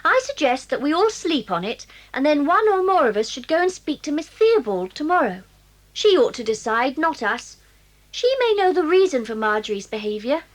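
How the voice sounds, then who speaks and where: An actress with an English accent playing a stern, bossy, brisk schoolgirl in a children's audio drama. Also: high voice, big pitch swings, low-quality recording. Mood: stern, decisive. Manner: brisk, decisive.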